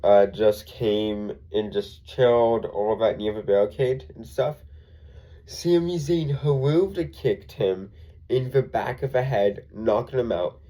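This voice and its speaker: A man's voice: mid-range voice, takes it slowly, warm tone, rough recording. A young man with a congested voice, rambling through a casual recap full of ums and self-corrections. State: congested from a cold. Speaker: wrestling show reviewer.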